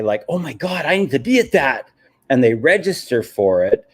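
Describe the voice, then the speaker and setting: Emphatic man hosting a live stream. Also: fairly high pitch. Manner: emphatic, repeats "okay?" to check in with viewers. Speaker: host of a live business stream. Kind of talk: live stream chat answering viewer comments.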